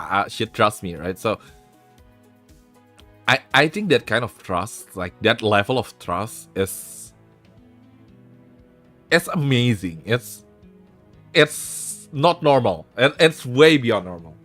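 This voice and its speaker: A man's voice: mid-range voice, fairly bright tone. A man hosting a live stream, giving frank relationship advice in casual chat, swearing freely and restarting his sentences. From Indonesia. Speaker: streamer host.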